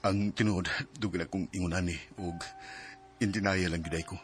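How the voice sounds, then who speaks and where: An actor playing an apologetic young boyfriend in a Cebuano Filipino radio drama, speaking in a subdued, halting way. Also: male voice, low-pitched, fairly even intonation, faint music behind, lightly acted. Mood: ashamed, subdued. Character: apologetic young boyfriend confessing a hidden truth.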